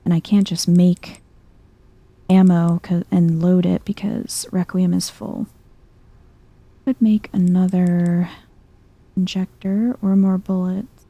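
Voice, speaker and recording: A soft-spoken woman doing chill, playful ASMR game commentary, often close to a whisper. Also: on the low side, level tone, slow pace, pauses often, no room sound. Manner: chill, playful muttering to herself. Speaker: gaming video host.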